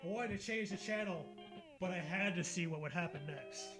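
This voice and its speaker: A man's voice: sits high, fairly quick. A man reading a story aloud in a casual, mocking, sweary way as a comedy co-host. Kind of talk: casual comedic read-along with commentary. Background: background music.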